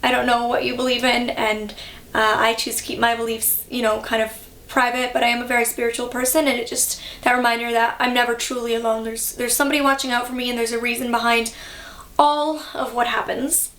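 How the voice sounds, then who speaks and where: A young woman from Canada talks to camera in a relaxed, reflective way, pausing on ums and likes. Her voice sits a little high. The room around her rings with noticeable echo. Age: young adult. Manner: chatty, reflective, many fillers. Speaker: vlogger. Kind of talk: casual vlog talk.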